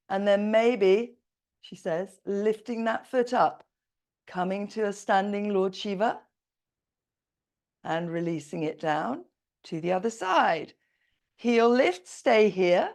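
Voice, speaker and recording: Slow, calm woman yoga teacher. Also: medium pitch. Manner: calm, step-by-step cueing while doing the poses herself. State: croaky voice. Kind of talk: slow guided yoga instruction.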